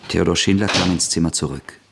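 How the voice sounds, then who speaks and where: A man narrating a radio play in a measured, steady storytelling manner. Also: deep voice, creaky, background noise.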